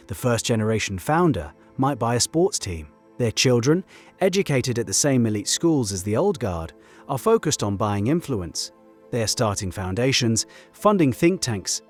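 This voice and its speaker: A man delivering scripted video-essay narration in measured, rhetorical short phrases. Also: mid-range voice, a little mellow. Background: background music.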